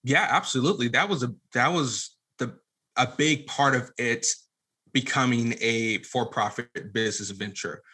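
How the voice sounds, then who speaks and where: A man's voice: mid-range voice, moderate pace, bright, forceful tone, slightly rough. A man talking casually as an interview guest, often restarting his phrases as he explains his work. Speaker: podcast guest, entrepreneur and audio drama producer.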